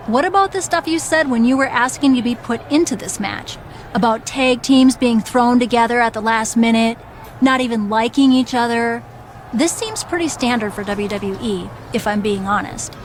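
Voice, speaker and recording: A woman as a high-voiced, firm wrestling veteran. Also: moderate pace, a little hiss or hum, lightly acted. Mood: wry, firm. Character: bossy, seasoned female wrestling legend turned manager. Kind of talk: video game cutscene dialogue.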